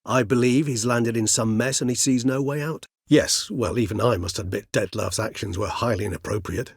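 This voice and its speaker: A man voicing a centuries-old, scholarly vampire gentleman in a fantasy game, speaking in eloquent, formal, measured phrases. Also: medium pitch, vocal fry, husky, dry recording, clean studio sound. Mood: reflective, regretful. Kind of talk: fantasy video game character dialogue.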